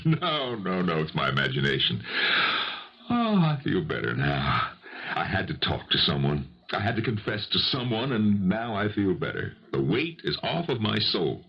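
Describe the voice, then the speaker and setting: A desperate businessman with murder on his conscience in a vintage radio drama. His low, husky voice swings widely and melodramatically. The old recording is muffled. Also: wildly swooping pitch, normal speed, full character voice. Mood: haunted, confessional. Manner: agitated, melodramatic, pleading. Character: guilt-ridden, ruthless businessman, desperate and fearful murderer. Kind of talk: 1970s radio drama acting.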